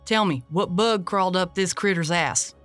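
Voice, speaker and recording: A brazen woman exorcist , played by an actress, talks in folksy, sardonic turns of phrase. She is tough and foul-mouthed, in audio drama style, with music clearly behind her. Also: fairly low pitch, normal speed, a bit bright, close to an everyday voice.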